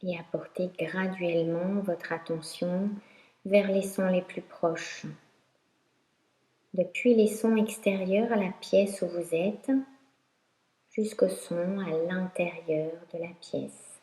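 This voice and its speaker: A woman teaching yoga nidra, guiding a meditation in a calm, instructional way with long pauses. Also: on the low side. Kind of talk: guided meditation narration. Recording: roomy echo.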